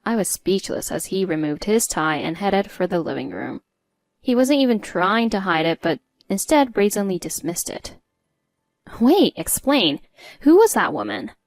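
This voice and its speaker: A woman narrates a scripted personal story at a medium pitch. Her delivery is measured and articulate, and the recording is very dry with no room sound. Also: expressive, creaky, no room sound.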